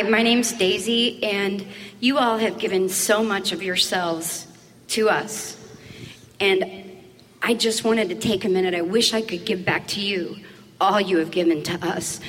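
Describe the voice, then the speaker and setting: A woman's voice: medium pitch, a bit bright. Earnest woman speaking in an echoing hall. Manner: earnest, deliberate. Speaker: audience questioner. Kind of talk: heartfelt audience address. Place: large convention hall. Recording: echoey hall with background noise.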